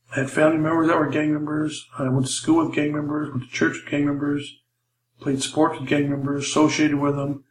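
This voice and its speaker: Older male ex-cop instructor, monotone and unpolished, recorded in a roomy space. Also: mid-range voice, a little hiss or hum. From Los Angeles, USA. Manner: plain, halting, many fillers and restarts. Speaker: retired police officer teaching a class. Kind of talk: casual spoken lecture intro.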